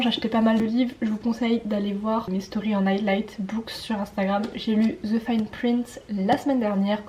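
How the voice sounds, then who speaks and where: A woman in her twenties, not a native speaker and speaking with an accent, chatting casually to camera at home in the evening, talking fast, rambling and correcting herself with little giggles. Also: fairly high pitch, mellow, slight background noise. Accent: non-native French. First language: not French. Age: 20s. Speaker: vlogger.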